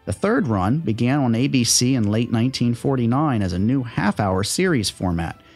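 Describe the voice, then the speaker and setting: Man narrating radio history over music. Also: medium pitch. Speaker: radio history segment presenter.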